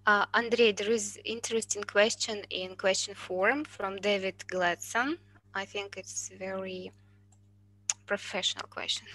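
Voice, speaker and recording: A woman in her thirties fields questions in an online university webinar through a headset mic. She talks in a bright, forceful voice at a middle pitch. Her English is careful and a little halting. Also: poor audio. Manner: careful, slightly halting non-native English. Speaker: university admissions presenter. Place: indoors with a virtual background. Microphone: headset on a video call.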